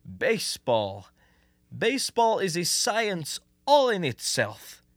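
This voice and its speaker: An actor playing a wry, talkative Russian comrade who loves baseball and explains things expansively in a radio comedy. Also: male voice, high-pitched, huge pitch swings, slow, fairly bright tone, no echo, heavily acted. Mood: enthusiastic, lecturing.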